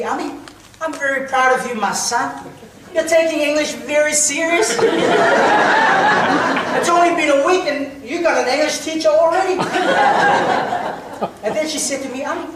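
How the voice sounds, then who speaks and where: Man, high voice, slow storytelling, comic speech contest, echoey hall from far off. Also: heavy background noise. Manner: telling a comic story to an audience with pauses for laughs. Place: large hall, public speaking contest. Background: audience laughter.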